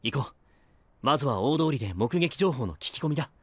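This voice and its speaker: A composed young anime knight who guides others with reason. His voice is a bit husky and moves freely in pitch. The sound is dry and close. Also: brisk, no room sound. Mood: calm. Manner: steady, reasoning, gently guiding. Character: calm level-headed young male knight. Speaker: voice actor. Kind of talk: anime voice drama.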